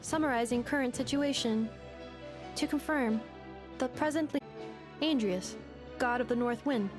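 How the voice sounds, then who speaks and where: A woman's voice: fairly high pitch, unhurried, music in the background, close to an everyday voice. A woman voicing a polite, methodical young female robot in a video game, speaking in formal, clipped announcements. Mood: matter-of-fact.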